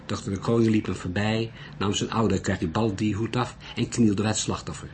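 A man narrating a literary detective story in a measured, deliberate way. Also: lowish voice, fairly even intonation, darkish tone. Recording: noisy recording.

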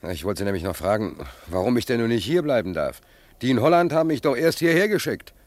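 An actor in a German radio play, playing a stranded sailor without papers who talks in a colloquial, pleading yet wry way. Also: male voice, medium pitch, big pitch swings, fast, a little mellow, dry recording, natural voice. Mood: timid, questioning. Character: hapless, plain-spoken stranded American sailor without papers.

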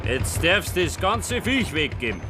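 A man's voice: sits high, big pitch swings, a little slow, background noise. A man playing a reluctant, reproachful young farmer's son in a radio play, with plain Bavarian dialect speech. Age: young adult. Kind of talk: naturalistic German radio play acting.

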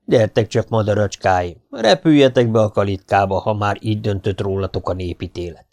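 A man voicing a proud, menacing old-time Hungarian warlord in a storybook reading, making solemn, booming threats. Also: lively intonation, a little mellow. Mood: amused. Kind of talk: audiobook character voice.

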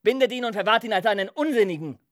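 A man's voice: high-pitched, lively intonation, fast talker, dry recording, studio quality. An actor playing an irate military commander in a radio play, brusque and scolding as he gives orders. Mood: angry, scolding. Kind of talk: German radio play acting.

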